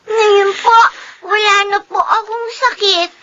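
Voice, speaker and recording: A performer playing a sweet, sickly, obedient young grandchild in a Filipino radio drama, speaking slowly, meekly and politely. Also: extremely high pitch, fairly even intonation, sharp tone, exaggerated voice. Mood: obedient, affectionate. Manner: polite, meek, slow. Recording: dull, slightly noisy radio recording.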